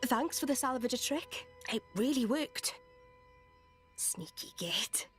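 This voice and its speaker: A feisty, slow-talking young drifter. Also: female voice, high voice, animated, faint music behind. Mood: cheeky. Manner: informal, cheeky, slangy. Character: feisty, blunt young noblewoman turned drifter. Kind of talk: video game voice acting.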